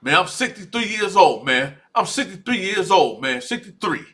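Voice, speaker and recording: A man's voice: sits high, big pitch swings, moderate pace, a bit bright. A man voicing a boastful 63-year-old prison inmate who has been locked up for decades, drawling and tacking "man" onto every phrase.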